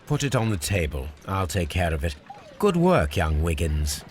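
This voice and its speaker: An actor playing a keen, confident middle-aged British gentleman detective in a video game, speaking formally and precisely. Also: deep voice, very animated, normal speed, a little hiss or hum. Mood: brisk, commanding. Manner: precise, formal, articulate, self-assured. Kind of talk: video game cutscene acting, deductive monologue.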